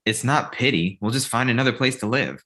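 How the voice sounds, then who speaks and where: A man's voice: mid-range voice, animated, brisk, a bit bright, lightly acted. A man reading the part of a mild, conciliatory young man, polite and placating, at a comedy table read.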